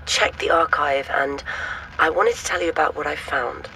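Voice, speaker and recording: An actress playing a brisk, cautious woman researcher in an audio drama phone conversation. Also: low-pitched, pushed and bright, slight background noise, low-quality recording. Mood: brisk, concerned.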